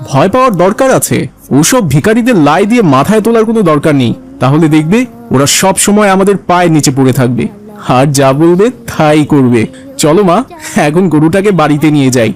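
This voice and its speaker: A man plays an arrogant, wealthy merchant dad in a moral cartoon. He speaks in a high male pitch, pompous and condescending, boasting as he goes. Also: animated, a little fast, close to an everyday voice. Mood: haughty, approving. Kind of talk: dubbed children's moral cartoon.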